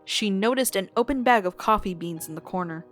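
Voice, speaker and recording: A woman reads a scripted tale as narrator, in a mid-pitched voice. Her delivery is expressive, with faint music underneath. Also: a little fast.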